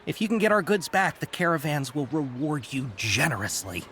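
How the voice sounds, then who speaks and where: An eager friendly merchant in a game, bit high, bouncy voice, music behind. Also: male voice, normal speed, slight background noise. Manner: eager, lively, obliging. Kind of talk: game voice acting. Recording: game audio with clear background music.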